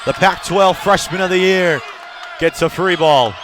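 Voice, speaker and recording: Male play-by-play announcer, basketball, raised pitch, punchy urgent phrasing, noisy gym. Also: unhurried. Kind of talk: live basketball play-by-play commentary. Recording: crowd roar and loud gym noise. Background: basketball game crowd.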